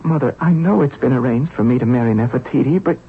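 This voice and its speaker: An actor playing a timid, anxious young Egyptian prince, pleading and stammering in a 1970s radio drama. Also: male voice, medium pitch, fast talker, natural voice. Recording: muffled old radio, faint noise.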